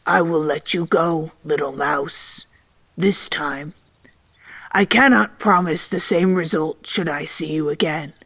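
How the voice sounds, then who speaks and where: A woman voicing a mighty, hungry male lion with a low grumble and a slow, imperious way of speaking in a storybook tale. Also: vocal fry, fairly bright tone, full character voice. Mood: grudging, relenting.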